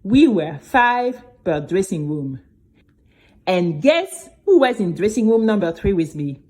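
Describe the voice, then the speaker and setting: A woman playing a nervous, chatty young girl who tells her story in a conversational, expressive way. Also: medium pitch, unhurried. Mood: excited. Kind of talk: first-person scripted audio drama narration.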